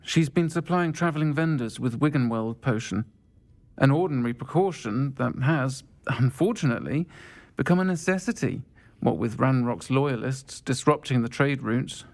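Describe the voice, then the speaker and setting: Middle-aged witch publican for a game: British, gently fond and wistful, gravelly deep-leaning tone. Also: animated, normal speed. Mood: warm, wistful. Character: warm middle-aged witch pub landlady, wistful, kindly. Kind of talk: video game cutscene dialogue.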